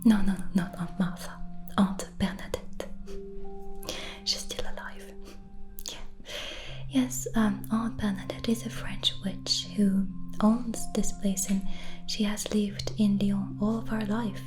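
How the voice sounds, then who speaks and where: Woman doing cozy witch asmr, whispery and really slow, bit hesitant, music behind her. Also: medium pitch, flat delivery. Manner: hushed, unhurried, gently hesitant. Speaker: ASMR roleplay host. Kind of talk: soft whispered ASMR roleplay. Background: background music.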